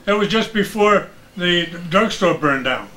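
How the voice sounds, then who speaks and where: A man's voice: high voice, lively intonation, measured pace, bright, forceful tone, low-quality recording. An older man casually reminiscing as a guest, folksy and given to exclamations. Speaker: interview guest, radio veteran.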